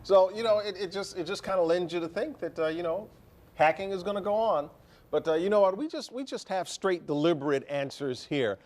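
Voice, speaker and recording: A man giving a live morning weather forecast on TV in a chatty, informal way. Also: a little high. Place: TV studio.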